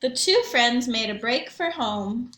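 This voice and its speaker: A woman teacher reading aloud and talking to young children in an expressive storytelling manner, with questions and asides. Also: a little high, unhurried, echoey room, a little hiss or hum. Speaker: primary school teacher reading aloud to pupils.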